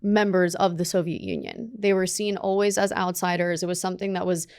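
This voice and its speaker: A young woman whose first language was Russian, speaking earnestly and deliberately as a guest in a studio interview. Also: on the low side, fairly even intonation, darkish tone, smooth, no room sound. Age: young adult. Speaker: guest, student leader. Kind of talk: conversational interview. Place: dark studio set with sofas. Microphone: studio mic on a boom arm.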